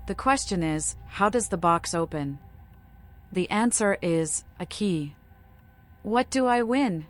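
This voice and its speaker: A woman's voice: mid-range voice, expressive, slow pace, faint music behind. A woman voicing a playful, cryptic, deranged female vampire in a video game, with an eccentric and whimsical manner. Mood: cryptic, musing. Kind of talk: modded video game voice acting.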